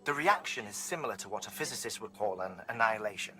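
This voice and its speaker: An actor playing an erudite, theatrical male scientist in a video game, lecturing formally and deliberately. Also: fairly low pitch, lively intonation, bright, forceful tone, faint music behind. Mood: grave, pondering. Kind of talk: scripted game exposition.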